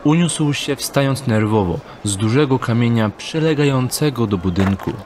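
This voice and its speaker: A man's voice: mid-range voice, expressive, normal speed, background noise. A man reading scripted narration for an audio drama.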